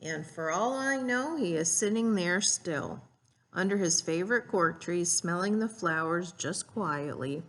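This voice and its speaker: A woman's voice: lowish voice, expressive, measured pace, a bit bright. A woman reading a storybook aloud and teaching children, explaining simply and putting questions to her young listeners.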